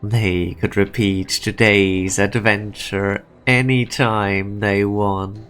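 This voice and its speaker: A man voicing a calculating, sardonic and menacing executive in a game fan dub, speaking deliberately. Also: fairly low pitch, a bit bright, exaggerated voice. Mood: demanding. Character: calculating male corporate executive, sardonic, menacing, pressing for truth. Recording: music behind the voice.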